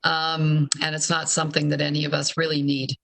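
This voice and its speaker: A man's voice: fairly high pitch, monotone, fairly quick, vocal fry, sharp tone. A man speaking as a city councillor in debate, firm and blunt. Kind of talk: council meeting debate remarks.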